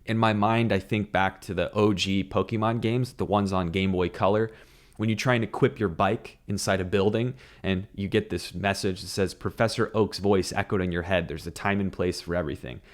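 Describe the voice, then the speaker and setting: A man in his thirties with a lowish voice, delivering scripted crypto commentary in a brisk, opinionated way and scoffing at silly debates. His voice is a touch scratchy from a sleepless night. He speaks close to a broadcast desk mic in a small home studio. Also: steady tone. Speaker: crypto show host. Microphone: desk broadcast mic on arm.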